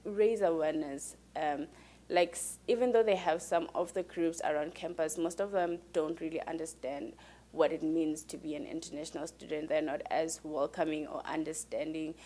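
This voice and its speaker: A woman, an international student, giving earnest, measured interview answers. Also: low-pitched, fairly even intonation, warm tone.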